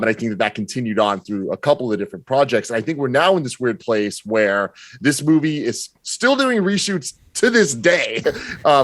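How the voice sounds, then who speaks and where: A man speaks in a very dry, close recording at a medium pitch. He is a podcast guest giving casual analysis, reasoning through his opinions in a measured, explanatory way. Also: dry recording.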